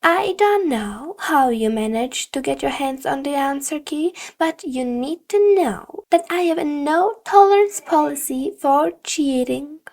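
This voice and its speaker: A woman voicing a stern, condescending female middle school teacher in audiobook dialogue, speaking in a deliberate, emphatic, lecturing way. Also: high-pitched, expressive, darkish tone, pitched above the performer's own voice. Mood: stern warning.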